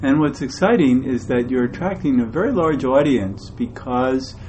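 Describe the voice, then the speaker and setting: A man hosting an interview, speaking in measured, hesitant phrases with pauses. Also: mid-range voice, lively intonation, darkish tone, a little hiss or hum. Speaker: interview host. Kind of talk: conversational interview.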